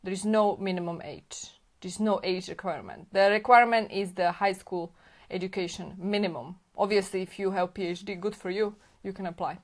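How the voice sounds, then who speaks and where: A woman hosting a live stream, answering viewer questions off the cuff at a mid-range pitch. She keeps it informal and chatty, full of fillers, and corrects herself as she goes. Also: brisk.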